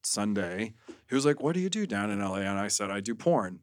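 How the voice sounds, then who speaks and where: A man's voice: lowish voice, sing-song, fairly quick, no echo. Candid man chatting, close dry sound. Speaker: podcast guest; porn actor. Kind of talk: casual interview chat.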